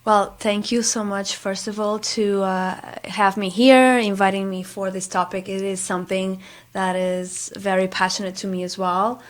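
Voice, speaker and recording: A woman from Portugal with a Portuguese accent, talking as an interview guest in a halting, conversational way. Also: mid-range voice, little pitch movement. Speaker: radio guest, interior designer.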